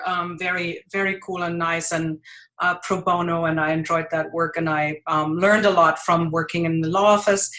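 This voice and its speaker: A woman's voice: medium pitch, sharp tone, rough recording. A woman in her forties from Germany with a German accent, chatting as a podcast guest, laughing often and a little nervous. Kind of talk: casual interview chat.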